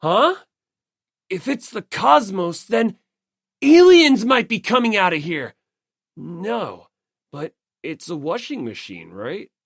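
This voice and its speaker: A woman voicing an odd young male fortune teller student who rambles with energetic fervor, for an audiobook. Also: mid-range voice, slow, dry recording, studio quality, pitched above the performer's own voice, exaggerated voice. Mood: fervent wonder.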